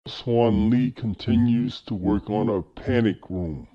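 A man's voice: lowish voice, slow pace. A man reading scripted scene-setting narration for an audio drama. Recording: dull lo-fi recording.

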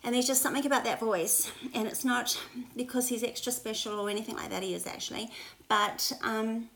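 A woman's voice: fairly high pitch, steady tone, brisk, creaky, fairly bright tone, poor audio. An Australian woman in her sixties, a pastor chatting casually on a home livestream with prayers and many fillers. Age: 60s. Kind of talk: casual livestream devotional chat. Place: spare bedroom at home.

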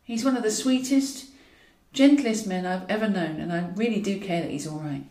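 A woman's voice: mid-range voice, normal speed, mellow, close to an everyday voice. A woman voicing a bluffing female talent agent in an audiobook, politely gushing with careful tact. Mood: fond, concerned. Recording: roomy echo, distant from mic.

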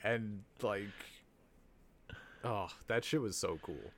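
A man co-hosting a podcast, chatting casually and excitably, with jokes and plenty of swearing. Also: fairly low pitch, takes it slowly. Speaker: podcast co-host. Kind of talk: casual unscripted chat about a cartoon series.

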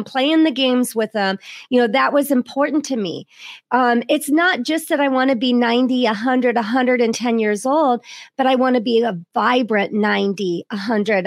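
A woman's voice: on the high side, dry recording, clean studio sound. A woman in her fifties hosting a podcast interview, chatty and full of "you know"s. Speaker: podcast host.

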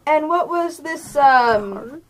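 A high-voiced woman acts as game master in a loose, chatty way. She is giggly and easily sidetracked, with lively pitch and faint noise on the recording. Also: slow. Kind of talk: casual tabletop game narration.

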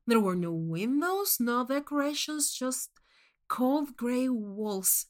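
A woman reading first-person fiction as a scripted narration, in a measured, expressive storytelling manner. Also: sits high. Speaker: short story reader.

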